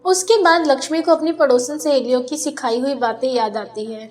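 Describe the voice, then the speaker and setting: A woman's voice: sits high, a little fast, a little mellow, soft background music. A woman reading scripted narration of a family moral story as a storyteller.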